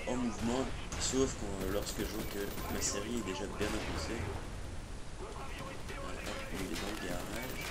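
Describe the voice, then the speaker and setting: A man with a cold commentating his own gameplay, rambling with many hesitations and restarts. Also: fairly low pitch. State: has a cold. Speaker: gaming commentator. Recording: loud background noise.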